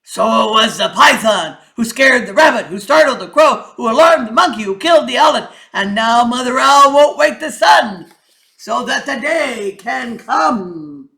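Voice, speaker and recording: Woman as a loud, accusing lion king. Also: fairly high pitch, expressive, unhurried, creaky, full character voice. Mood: accusing, declamatory. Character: booming male lion king judging his animals. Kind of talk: storybook read-aloud.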